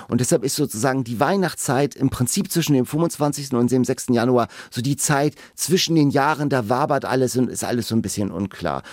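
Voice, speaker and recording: A man's voice: medium pitch, darkish tone, no echo, studio quality. A German man co-hosting a podcast in casual, chatty conversation, explaining things in a slightly playful know-it-all way. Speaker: podcast co-host. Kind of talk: casual book-podcast chat. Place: podcast studio.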